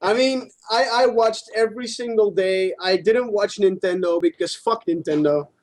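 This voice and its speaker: A man with a noticeably high voice talks as co-host of a gaming show. He explains things casually and informally, in the loose way of an online chat between friends. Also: moderate pace.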